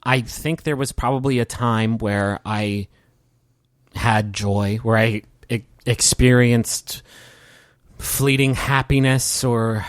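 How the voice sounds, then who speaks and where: A man playing a weary, quietly despairing nihilist villain in a tabletop game, given to halting, grandiose philosophical monologues. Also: medium pitch, no room sound, studio quality, lightly acted. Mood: brooding, grandiose.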